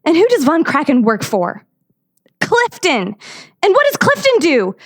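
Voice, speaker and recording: A woman's voice: sits high, huge pitch swings, a little slow, dry recording, studio quality, exaggerated voice. A woman playing an earnest, defiant, naive young woman in a radio drama. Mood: defiant, determined.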